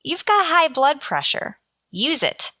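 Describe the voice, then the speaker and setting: A woman playing a wry, quick-witted schoolteacher with deferential sarcasm, in an old-time radio sitcom style. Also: high voice, sing-song, pushed and bright, dry recording, clean studio sound, full character voice. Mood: pleading, wry. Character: wry, quick-witted female high school English teacher.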